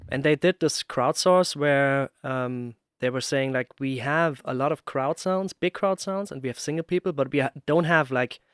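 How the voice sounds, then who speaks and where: A man's voice: mid-range voice, normal speed, few pauses, clear, resonant tone, no room sound, clean studio sound. A man hosting a podcast, chatting casually and searching for his words as he tells stories. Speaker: podcast host. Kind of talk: casual interview chat.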